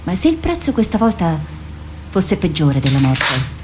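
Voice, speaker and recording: An animated, theatrical female diplomat in a radio drama. Her pitch moves widely as she dismisses and persuades, scornful with anxiety underneath. Faint noise sits behind the voice. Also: moderate pace. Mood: evasive, urgent.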